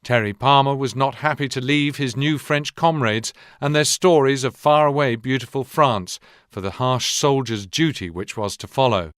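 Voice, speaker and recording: A man voicing the narrator of a war game, reading scripted history in a measured, serious, documentary manner. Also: mid-range voice, fairly bright tone, no echo, studio quality, lightly acted.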